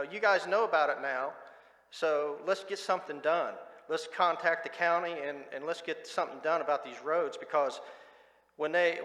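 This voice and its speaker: An older man testifying as a resident at a public hearing, blunt and halting, repeating words as he goes. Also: on the high side, a bit bright. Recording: echoey hall with long reverb.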